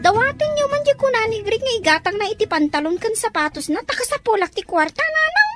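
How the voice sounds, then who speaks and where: Woman as a very high-voiced, whiny young sister. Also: very animated, fast, loud music behind the voice. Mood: whiny complaining. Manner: complaining, pleading. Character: whiny young student sister, work-shy and wheedling. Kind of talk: family radio-drama acting.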